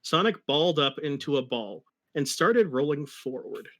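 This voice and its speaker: Male story reader, fairly high voice, comic dramatic narration broken by wry asides. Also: lively intonation, normal speed. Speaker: reader of a fan fiction story.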